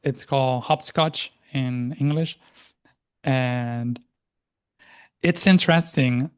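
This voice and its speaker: A man in his thirties from Argentina, a native Spanish speaker, talking reflectively as an interview guest with frequent restarts and pauses. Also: mid-range voice, clear, resonant tone. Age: 30s. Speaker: interview guest, life and language coach. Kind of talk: casual interview conversation.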